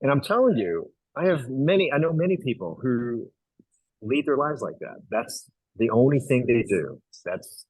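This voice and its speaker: Middle-aged man from Hong Kong, actor guest, husky and low, bone-dry close sound, chatty with plenty of restarts. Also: normal speed, dry recording, rough recording. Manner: animated storyteller, many fillers and restarts. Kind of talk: casual interview.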